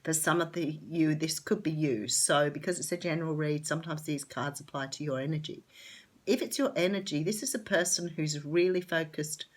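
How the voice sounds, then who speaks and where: Casting note: Australian woman, low-pitched and stuffed-up from a cold, chatty tarot reader with lots of ums. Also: moderate pace. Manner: chatty, enthusiastic, many ums. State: nasal and sore-throated from a cold. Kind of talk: casual tarot reading to camera.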